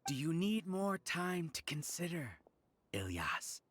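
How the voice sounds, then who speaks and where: A man voicing a calm, condescending scholar villain who lectures in measured, coldly polite tones. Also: a little high, heavy background noise. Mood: cold smug. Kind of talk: game voice acting.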